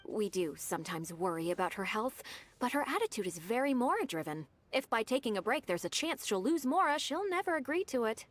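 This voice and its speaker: Polite young game secretary, businesslike, very animated intonation. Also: female voice, on the high side, a little fast. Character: dutiful young female secretary, polite and efficient. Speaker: game character. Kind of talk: video game voice acting.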